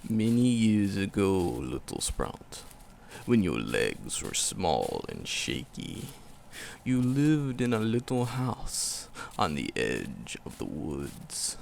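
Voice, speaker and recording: Ancient gravel-throated forest demon. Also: male voice, low voice, wildly swooping pitch, darkish tone, a little hiss or hum, exaggerated voice. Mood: fond, nostalgic. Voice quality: gravelly, growling creak. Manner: slow, poetic, storybook phrasing with dramatic pauses. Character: ancient hulking moss-covered male forest demon, tender and wistful. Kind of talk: ASMR roleplay monologue.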